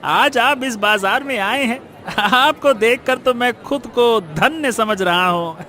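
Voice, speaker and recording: A man's voice: extremely high pitch, full character voice. A man voicing a greedy, fawning and spiteful shopkeeper in a cartoon moral story, with oily, obsequious delivery. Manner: oily, obsequious, drawn-out flattery.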